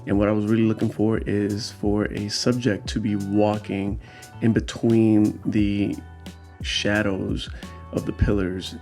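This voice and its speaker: A man with a lowish voice gives a relaxed vlog voiceover, explaining his photographs in an easygoing way with plenty of "um"s and "you know"s. Faint music plays underneath. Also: a little slow. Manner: easygoing, explanatory, with frequent fillers. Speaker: street photographer and channel host. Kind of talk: casual vlog voiceover commentary.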